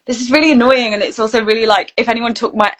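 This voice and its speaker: A woman's voice: a little high, steady tone, fast. A woman guest chatting informally and candidly in a casual interview. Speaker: interview guest, actor and voice reel studio founder.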